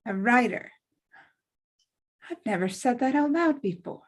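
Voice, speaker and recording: A woman's voice: fairly high pitch, lively intonation, a little slow. A woman playing an earnest, conflicted young woman in a dramatic script table read, speaking in a heartfelt, plain-spoken way. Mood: shy, reflective.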